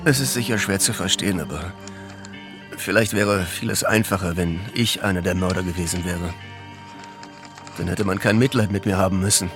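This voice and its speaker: An actor playing a grieving, guilt-ridden widowed film director in a radio drama, sombre and measured. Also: male voice, sits low, fairly even intonation, over music, natural voice.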